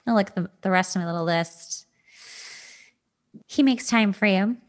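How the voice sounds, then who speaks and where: Woman, podcast host; casual conversational delivery with animated asides, mid-range female pitch. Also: normal speed. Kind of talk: casual chat.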